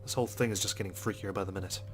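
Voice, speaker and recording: An actor playing a dogged, skeptical male police detective in a visual novel game, speaking in a measured, serious, matter-of-fact way over music. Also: sits low, steady tone, noisy background, lightly acted. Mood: brooding, resolute. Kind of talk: scripted visual novel narration and dialogue. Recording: music behind the voice.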